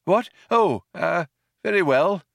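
Man playing a proud old man, pitched high. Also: very animated, slow pace, a bit bright, no echo, clean studio sound, exaggerated voice. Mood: flustered, polite. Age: older. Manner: hesitant, courteous, turning indignant. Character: polite old man, proud, easily affronted craftsman. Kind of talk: audiobook character voice.

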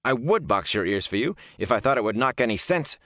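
A man voicing a fierce young village wise woman with a sharp, touchy, bossy manner in a fantasy audiobook. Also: mid-range voice, sing-song, a little fast, no room sound, clean studio sound, voice pushed up. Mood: angry, scolding.

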